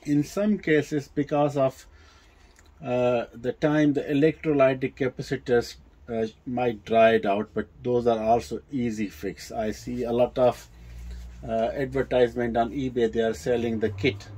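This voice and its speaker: A man with a non-native English accent giving a casual, unscripted demonstration in a halting, hesitant way with repeated words. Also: medium pitch, level tone, mellow. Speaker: presenter of vintage audio equipment videos.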